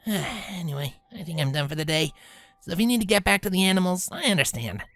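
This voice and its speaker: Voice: mid-range voice, lively intonation, fast talker, fairly bright tone, dry recording, soft background music, voice pushed up. A narrator voicing a brash young pegasus mare with a casual, plain-spoken, tomboyish manner. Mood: casual, friendly.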